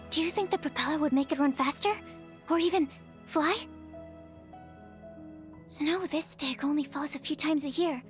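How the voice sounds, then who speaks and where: A woman's voice: sits high, natural voice. A woman voicing a shy, bookish young female alchemist in a video game, speaking in a hesitant, polite and earnest way. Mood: earnest curiosity. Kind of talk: English video game character voice acting.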